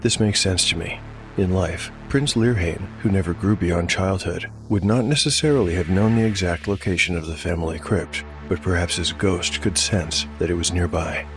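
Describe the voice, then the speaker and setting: Casting: male narrator and game master, low register, scripted fantasy storytelling with music bed. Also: normal speed.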